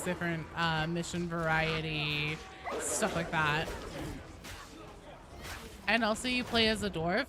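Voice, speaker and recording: A woman hosting a game livestream, chatting casually and answering viewers in an animated, playful, conversational way. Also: medium pitch, background noise.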